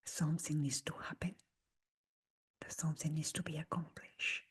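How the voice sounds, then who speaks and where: A woman leading a guided meditation in a breathy, husky near-whisper, speaking gently in short phrases with long pauses. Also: low voice, little pitch movement. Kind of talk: slow guided meditation talk.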